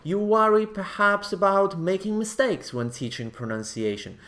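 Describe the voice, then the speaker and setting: A man's voice: high voice, animated, normal speed, a bit bright. A man in his thirties whose first language is not English, hosting a teaching video from his living room and talking earnestly and reassuringly to the camera. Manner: earnest, reassuring, gestures with hands. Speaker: host. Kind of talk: scripted video presenting.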